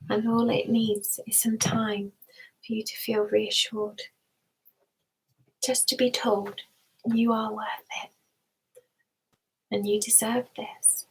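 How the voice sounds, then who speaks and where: A woman softly guiding a meditation in an ASMR style, mostly in a breathy whisper, soothing and gentle, often repeating words. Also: medium pitch, low-quality recording. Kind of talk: ASMR guided meditation roleplay.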